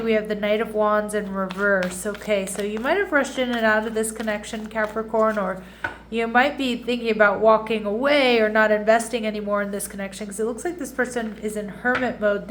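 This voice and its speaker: Woman, tarot reader, slightly nasal, congested, chatty, restarts often, mid-pitched, faint background hum. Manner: chatty, warm asides, frequent restarts. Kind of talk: casual tarot reading.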